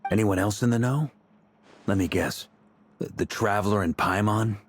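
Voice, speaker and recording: A man's voice: lowish voice, normal speed, slight background noise, close to an everyday voice. A man playing a genial, shrewd middle-aged knight commander in a video game, easygoing and teasing in a theatrical way. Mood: teasing, jovial.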